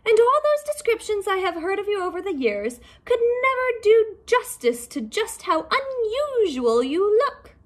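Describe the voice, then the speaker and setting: A woman's voice: very high voice, sing-song, unhurried, few pauses, pitched above the performer's own voice, exaggerated voice. A woman voicing a polite, perky female rabbit in a storybook, exclaiming with genteel curiosity. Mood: curious, amazed.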